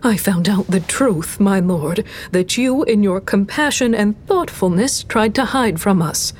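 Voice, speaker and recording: A woman voicing a fervent, grovelling high priestess in audio fiction, pleading dramatically in a squeaky voice. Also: normal speed, a little mellow, slight background noise, natural voice. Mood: venomous, fawning. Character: fawning, venomous, zealous high priestess, grovelling servant.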